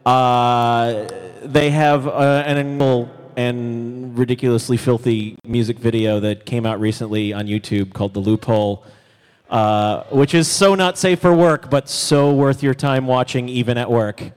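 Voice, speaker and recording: A man's voice: medium pitch, fairly even intonation, a little slow, clear, resonant tone. Echoey male emcee hyping the act. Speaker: live show host, announcer. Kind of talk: enthusiastic stage introduction. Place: live stage in a hall. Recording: echoey hall sound with long reverb.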